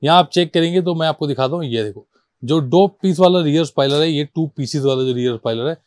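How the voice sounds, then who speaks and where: A man's voice: a little high, quick pace, darkish tone, no room sound, studio quality. A man doing an informal, insistent product walkthrough as a shop seller, repeating himself and mixing in English numbers and terms. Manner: insistent, repetitive, addressing viewers as brother. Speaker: car accessories shop seller presenting stock.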